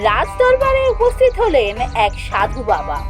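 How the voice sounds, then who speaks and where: A woman's voice: high-pitched, huge pitch swings, normal speed. A woman giving a scripted fairy-tale narration for a cartoon. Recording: music behind the voice. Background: background music.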